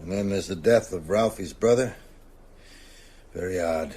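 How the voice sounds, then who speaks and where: A man's voice: sits low, measured pace, darkish tone, breathy edge. An actor playing a sociable, shrewd older small-town man in an audio drama, conversational and probing. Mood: probing, uneasy. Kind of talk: naturalistic audio drama dialogue.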